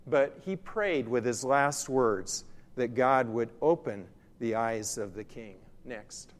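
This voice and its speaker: An older man giving an earnest, somewhat halting missionary slide talk in public. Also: medium pitch. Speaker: missionary Bible translation consultant giving a presentation. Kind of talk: slide-show mission talk to a church group. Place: large reverberant room or hall. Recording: echoey hall with long reverb.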